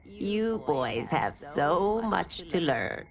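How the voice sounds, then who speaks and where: Low-voiced tough female mentor. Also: sing-song, extremely slow, crowd noise, heavily acted. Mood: stern, wry. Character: tough, blunt older female martial arts mentor. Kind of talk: video game cutscene acting.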